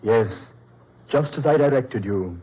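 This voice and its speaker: A male actor playing a courteous, sinister mad doctor in old radio horror, silky, condescending and measured. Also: medium pitch, animated, breathy edge. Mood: smugly courteous. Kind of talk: 1940s radio horror acting. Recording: dull, muffled old radio recording.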